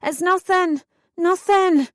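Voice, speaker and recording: A woman's voice: very high voice, takes it slowly, no echo, clean studio sound, voice pushed up. A woman voicing a distraught teenage girl in an audiobook, urgent and pleading with a raised voice. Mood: panicked. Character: distraught teenage sister, panicked and desperate.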